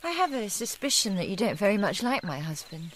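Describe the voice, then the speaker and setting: An actress playing a young, guarded, unhappy doctor's wife in a radio drama, reserved and polite with clipped replies. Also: female voice, medium pitch, very animated, brisk, slight background noise, close to an everyday voice.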